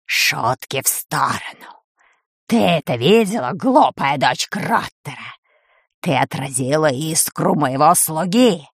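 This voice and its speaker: A woman voicing a menacing old plague sorceress from a fantasy storybook, slow and commanding, with a creaky voice. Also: low-pitched, animated, no room sound, clean studio sound, pitched below the performer's own voice, exaggerated voice. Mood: menacing, gloating. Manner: slow, drawn-out, menacing delivery.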